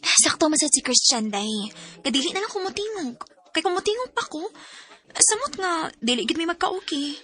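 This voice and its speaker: A woman acting a devoted, patient, self-sacrificing young wife in a radio drama, gentle and reassuring in a conversational way. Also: sits high, sing-song, moderate pace, exaggerated voice. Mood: defensive, resigned.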